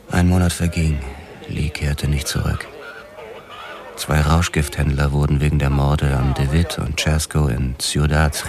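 An actor playing a haunted, hard-boiled 1940s police officer who narrates a crime radio drama. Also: male voice, rumbling low. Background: prominent music.

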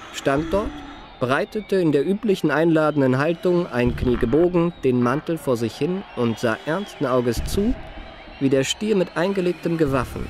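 An actor playing an elegant, eloquent gentleman adventurer telling his memoirs in a refined, ornate manner for a radio drama. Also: on the high side, natural voice. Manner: ornate, measured, refined phrasing. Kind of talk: literary radio drama narration.

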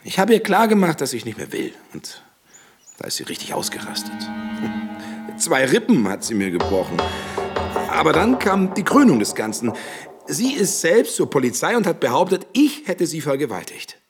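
An actor in a radio drama playing a polite, self-justifying husband with an aggrieved, hesitant manner that hides menace. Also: male voice, fairly high pitch, huge pitch swings, normal speed, faint music behind. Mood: aggrieved, confiding. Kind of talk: naturalistic radio drama acting.

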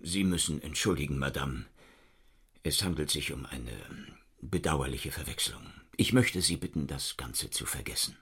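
An actor playing a gruff, exasperated, middle-aged police inspector in a radio play. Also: male voice, a bass voice, fairly bright tone, exaggerated voice. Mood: subdued, puzzled. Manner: barking orders, then slow and brooding.

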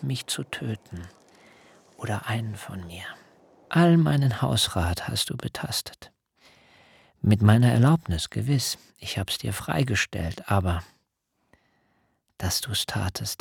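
An actor playing an ageing, righteously angry biblical herdsman in a literary radio drama, speaking in archaic, deliberate rhetoric. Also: heavily acted. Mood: indignant. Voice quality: low, gravelly.

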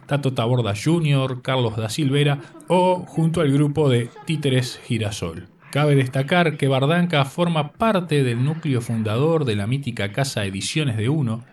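A man from Uruguay, reading a prepared radio text as the presenter in a measured way. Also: medium pitch, recorded from a distance. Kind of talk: scripted radio narration.

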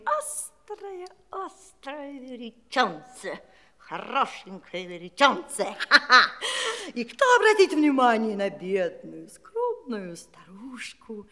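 Wicked old fairy, fairy-tale audio play. Slow, gloating, cackling, in long hall reverb. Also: female voice, sits high, a bit bright, exaggerated voice. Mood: gloating, wheedling. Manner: cackling, drawn-out, exultant delivery.

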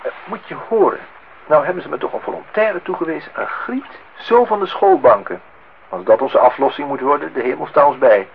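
A man's voice: on the high side, animated, brisk, gravelly. An actor playing a wry, grumbling man acting the husband and father in a Dutch radio play, with a dry, theatrical irony. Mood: indignant. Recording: tinny, band-limited old radio recording, faint noise.

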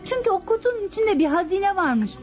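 A dutiful yet calculating young daughter in a radio play. Her voice is high and animated, with music faintly behind a dull recording. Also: fairly quick. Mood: resolute, calculating. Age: young adult. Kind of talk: radio theatre acting.

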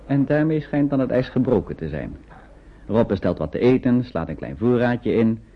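A man's voice: mid-range voice, expressive, normal speed. An actor narrating a scripted radio drama about wartime refugees in a man's storytelling voice. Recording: muffled phone-like old radio recording.

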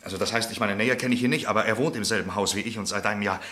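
A man's voice: on the low side, racing, pushed and bright. An actor playing an agitated, insistent young man who stammers and repeats himself urgently in a German radio play. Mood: insistent, nervous. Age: young adult.

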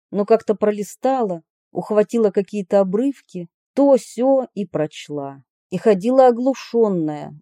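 A woman reading personal letters aloud in an expressive way. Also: medium pitch, no echo, studio quality.

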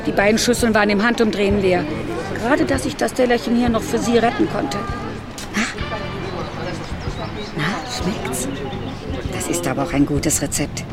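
A chatty carer gossips on and on, as an actress plays her. Loud music and a busy crowd fill the outdoor party around her. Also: mid-range voice, lively intonation, moderate pace, pauses often, lightly acted. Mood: proud. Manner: talkative, gossipy.

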